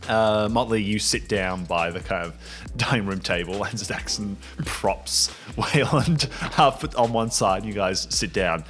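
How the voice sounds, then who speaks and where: Male game master, lowish voice, halting comic improvised narration over soft music.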